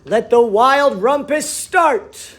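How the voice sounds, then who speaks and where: A man voicing a wild, defiant little boy from a storybook, exclaiming loudly and bossily. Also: very high voice, slow pace, voice pushed up, heavily acted. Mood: commanding. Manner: loud, emphatic, bossy exclaiming.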